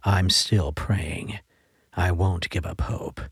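A man voicing a devoted, worried farmer husband who speaks low and tenderly. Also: fairly even intonation, slow pace, a bit bright, husky, no echo, clean studio sound, exaggerated voice.

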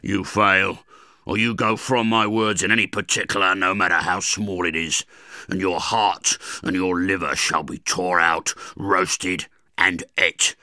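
A rough escaped convict, loud and gravelly-sounding, slow menacing rustic talk, dry close sound. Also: male voice, sits low, fairly even intonation, no echo, heavily acted. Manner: rustic dialect, slow threatening emphasis. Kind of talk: dramatized book reading.

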